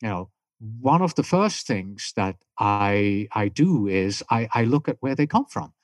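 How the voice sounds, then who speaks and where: A middle-aged man from Switzerland, a native German speaker with a mixed British and German accent, telling stories as a podcast guest in relaxed chat with frequent restarts. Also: lowish voice, a little slow, no echo, studio quality. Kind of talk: casual interview chat.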